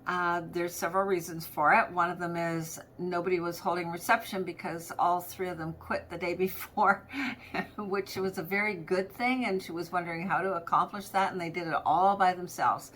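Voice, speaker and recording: A woman's voice: fairly low pitch, fairly even intonation, moderate pace, a bit bright, a little hiss or hum, poor audio. A middle-aged woman coach giving an informal, conversational training talk, explaining things with everyday anecdotes and examples. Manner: conversational, anecdotal, acts out example phone greetings. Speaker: practice management coach presenting a training episode.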